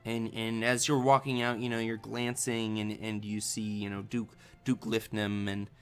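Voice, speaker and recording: A man running a tabletop game, improvising narration in a halting, conversational way. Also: lowish voice, level tone, fairly bright tone, faint music behind. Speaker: game master.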